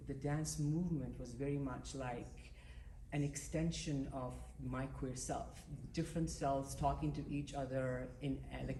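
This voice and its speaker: A man's voice: mid-range voice, dark tone, slight background noise. Rambling man in a roomy theater. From South Asia. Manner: rambling, filler-heavy. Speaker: audience member. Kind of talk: audience comment at Q&A. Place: theater auditorium.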